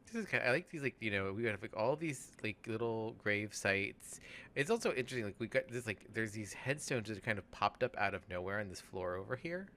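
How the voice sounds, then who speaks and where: A man co-hosting a let's play, chatting casually and thinking aloud in a halting, musing way. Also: fairly low pitch, lively intonation, bright, forceful tone, a little hiss or hum. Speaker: let's play co-host playing the game. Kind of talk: casual game commentary chat.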